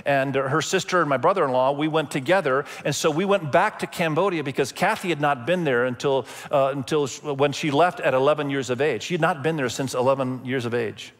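Male pastor raised in Indonesia, slightly raised voice, preaching with chatty stories and dramatic pauses in a church hall with long reverb. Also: on the high side. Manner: conversational storytelling, emphatic pauses. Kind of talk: sermon. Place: church sanctuary.

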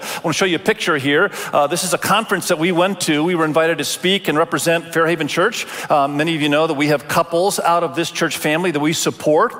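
A middle-aged male pastor raised in Indonesia, preaching a Sunday sermon in a relaxed, conversational way with many fillers and restarts. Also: on the high side. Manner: warm conversational delivery with frequent fillers and restarts. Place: large church auditorium. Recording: long church reverb.

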